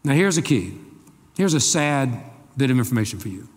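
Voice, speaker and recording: A man's voice: mid-range voice, big pitch swings, normal speed. Man preaching in a reverberant hall. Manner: folksy and joking, with playful asides to the congregation. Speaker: pastor. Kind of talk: sermon. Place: church sanctuary. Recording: long reverb of a large hall.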